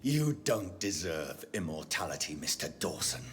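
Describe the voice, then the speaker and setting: An actor playing a grave, morally stern gentleman doctor with a formal, cutting manner in a video game. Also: deep voice, sing-song, normal speed, long reverb tail, over music. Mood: stern contempt. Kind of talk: dramatic video game acting.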